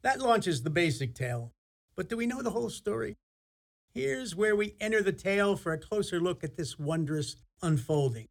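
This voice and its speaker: A man's voice: high-pitched, expressive, normal speed, creaky. A man playing a genial, theatrical narrator, telling a fairy tale in a radio play. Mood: storytelling. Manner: theatrical, storytelling.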